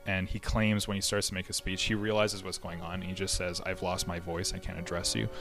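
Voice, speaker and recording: A man's voice: low-pitched, level tone, fairly quick, music in the background. A man hosting a history podcast, explaining events in a casual way, with frequent fillers and restarts. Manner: conversational, many fillers and restarts.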